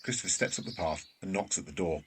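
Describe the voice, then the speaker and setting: A man's voice: sits low, quick pace, a bit bright. A man reading scripted narration for an audio drama. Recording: faint ambient background noise.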